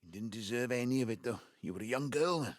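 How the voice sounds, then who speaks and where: An actor playing an ageing Welsh patriarch in an audio drama, wounded, tender and defensive, with a halting, emotive delivery. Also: male voice, mid-range voice, lively intonation, no room sound, clean studio sound, natural voice. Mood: tender, grateful. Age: 70s. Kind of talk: naturalistic audio drama acting.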